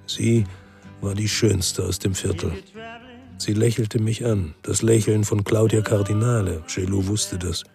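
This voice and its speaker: Low-voiced brooding ex-cop. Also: male voice, fairly even intonation, measured pace, vocal fry, a little mellow, soft background music. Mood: reflective. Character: brooding male ex-policeman narrating his own crime story. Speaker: first-person narrator and lead actor. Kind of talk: literary radio crime drama narration.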